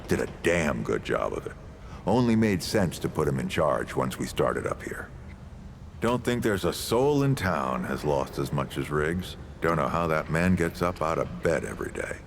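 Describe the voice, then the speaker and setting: An actor playing an old, folksy, world-weary leader and storyteller in a video game, with a gravelly voice and unhurried delivery. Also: male voice, very deep voice, a little hiss or hum, heavily acted. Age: older. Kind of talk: video game character dialogue.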